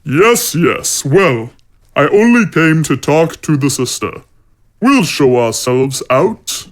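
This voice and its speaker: A man playing a stern, self-righteous zealot warrior in an audio fantasy drama, speaking in a measured, formal, menacing way. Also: on the high side, big pitch swings. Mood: coldly threatening.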